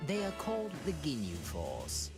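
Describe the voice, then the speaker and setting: A man's voice: fairly high pitch, huge pitch swings, unhurried, heavily acted. A man voicing a cruel, smug alien tyrant who schemes with mocking courtesy, in theatrical anime game style. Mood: sly bargaining. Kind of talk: dubbed anime video game acting. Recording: loud music behind the voice.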